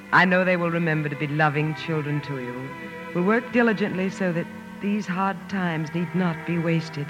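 An actress playing a gentle middle-aged mother in a radio drama, tender and composed. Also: sits low, highs cut off, lightly acted. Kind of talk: 1950s radio drama acting. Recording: old 1950s radio broadcast recording with music.

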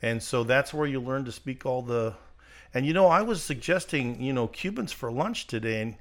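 A man's voice: mid-range voice, expressive, moderate pace. A man co-hosting a casual ministry podcast, joking and chatty as he introduces a guest. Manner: joking, chatty, laughs often. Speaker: podcast co-host. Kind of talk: casual chat.

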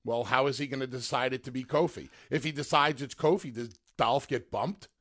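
Casting: mid-pitched male co-host, pushy, keeps repeating to break in. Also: a little fast, fairly bright tone. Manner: pushy questioning, repeats to interrupt. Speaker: radio co-host. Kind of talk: casual radio talk.

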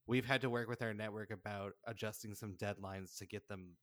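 A man's voice: on the low side, fairly even intonation, brisk, a bit bright, no room sound, clean studio sound. A man speaking earnestly and informally as a guest in a casual interview about his creative work. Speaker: podcast guest, audio drama creator.

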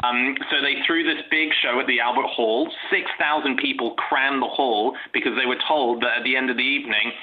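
A man co-hosting a podcast, telling trivia in a relaxed way with frequent ums. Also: mid-range voice, a little fast, few pauses. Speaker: podcast co-host. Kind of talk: casual chat telling trivia. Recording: phone-like narrow sound.

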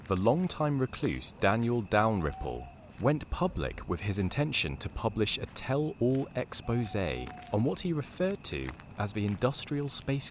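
An actor voicing a wry, deadpan storyteller, narrating a scripted dark comedy. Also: male voice, fairly low pitch, slight background noise.

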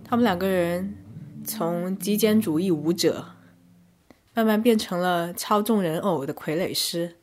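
A woman narrating a scripted first-person arts review in measured, descriptive short phrases. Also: fairly low pitch, a little mellow. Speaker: podcast host and reviewer.

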